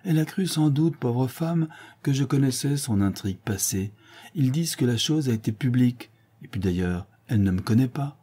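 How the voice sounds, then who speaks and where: A man voicing a proud, brooding young gentleman in a read-aloud novel, ironic in tone. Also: mid-range voice, animated, normal speed, slightly rough, pitched above the performer's own voice.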